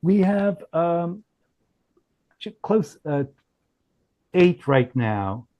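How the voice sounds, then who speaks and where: A man presenting as a company founder in a business pitch on a group call, speaking haltingly with frequent restarts. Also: very animated, warm tone, no echo. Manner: halting, often restarts phrases. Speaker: startup founder presenting his product.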